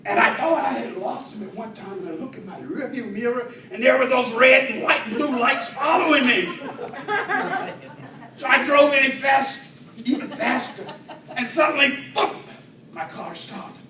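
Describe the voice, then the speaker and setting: High-pitched man telling a theatrical tall tale. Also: crowd noise. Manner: theatrical, dramatic pauses and swells. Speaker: speech contest storyteller at a Toastmasters club. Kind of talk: humorous tall-tale speech to an audience. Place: indoor room with an audience. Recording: roomy, distant mic, faint noise.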